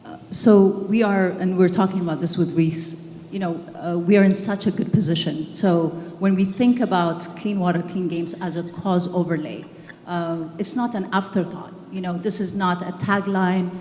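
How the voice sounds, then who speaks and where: A woman's voice: lowish voice, fairly even intonation, moderate pace, warm tone, slight background noise. A middle-aged woman from Ethiopia speaking earnestly on a conference panel, with many fillers and restarts. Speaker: panelist, games organizer. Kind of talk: panel discussion. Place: stage at a conference hall. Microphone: handheld mic. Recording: echoey hall, faint ambience.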